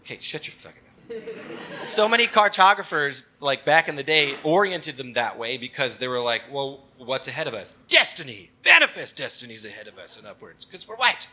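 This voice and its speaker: A man's voice: mid-range voice, very animated, normal speed, pushed and bright. A man co-hosting a live comedy show on stage, explaining things casually with wry, emphatic interjections. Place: on stage before a live audience.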